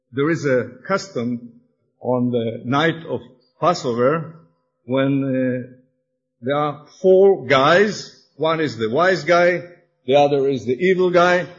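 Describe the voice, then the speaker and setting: A man from Austria presenting his congregation to an audience, hesitant and searching for words, a little excited. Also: fairly high pitch, muffled recording. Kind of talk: halting presentation to an audience with slides.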